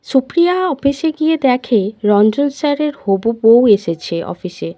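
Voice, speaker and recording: A woman's voice: sits high, very animated, unhurried, dark tone, dry recording. A woman reading a scripted love story as its narrator.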